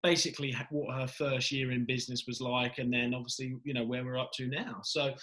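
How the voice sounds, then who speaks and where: A man's voice: mid-range voice, level tone, a little fast. Upbeat man hosting an interview. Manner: upbeat, warm greetings, leads with questions. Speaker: host. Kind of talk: interview host. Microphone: video call.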